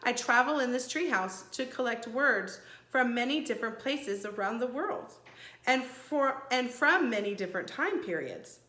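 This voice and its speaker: A woman voicing a calm, gracious old enchantress in a children's storybook, speaking in a measured, precise way. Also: fairly high pitch, roomy sound, pitched above the performer's own voice. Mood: serene, explaining.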